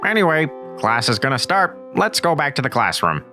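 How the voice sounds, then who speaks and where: A man's voice: on the high side, very animated, fairly quick, music in the background. A man voicing a laid-back, slangy, know-it-all nerdy teenage boy, sounding a bit distant from the mic.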